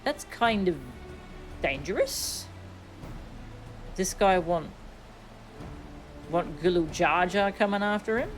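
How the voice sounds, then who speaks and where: A woman's voice: on the low side, lively intonation, slow, pauses often. A woman live-streaming a game, chatting casually with wry asides. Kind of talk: live stream chat, reading game dialogue aloud. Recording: music behind the voice.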